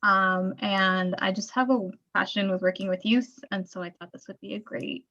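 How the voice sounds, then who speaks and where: A woman's voice: mid-range voice, steady tone, normal speed, fairly bright tone. A woman who is a master's student, introducing herself casually with fillers. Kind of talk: self-introduction. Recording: dull, lo-fi audio.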